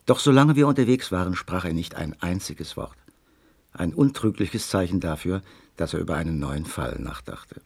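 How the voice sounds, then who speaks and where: Inquisitive gentleman companion, radio crime drama, earnest storytelling, rough-edged voice, very animated intonation. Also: deep voice, normal speed, heavily acted. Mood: brooding narration. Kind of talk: German radio crime drama, narration and dialogue.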